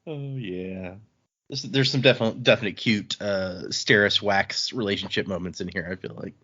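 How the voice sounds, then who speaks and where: A man's voice: low voice, normal speed, bright, forceful tone, slightly rough, dull audio. A man co-hosting a podcast, chatting casually about a book with a teasing, playful manner. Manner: playful, teasing, laughs a lot. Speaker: podcast co-host. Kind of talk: casual book club chat.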